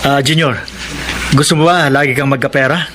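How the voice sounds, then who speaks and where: A man's voice: medium pitch, fairly even intonation, normal speed, pushed and bright, a little hiss or hum, lightly acted. A man playing a sly, wheedling petty crook in a radio drama. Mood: coaxing. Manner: wheedling, coaxing. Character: sly adult male petty crook recruiting a child. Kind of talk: Tagalog radio drama acting.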